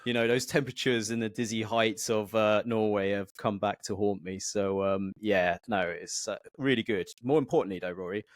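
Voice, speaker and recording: A man co-hosting a casual football podcast over a video call, a little nasal from a cold, speaking in a measured, thoughtful way. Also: fairly low pitch, steady tone, no room sound. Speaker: podcast co-host.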